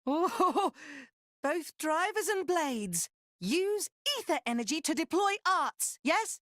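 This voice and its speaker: Small furry male game creature: extremely high, excitable, fractured grammar, dry close recording. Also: takes it slowly, dry recording, heavily acted. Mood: cheerful, coy. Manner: speaks in broken third-person grammar. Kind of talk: voiced game cutscene acting.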